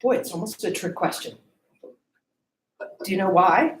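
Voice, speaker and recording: A woman's voice: lowish voice, measured pace, reverberant. A woman giving a classroom lecture, often asking the class questions and checking in with "okay?" and "right?".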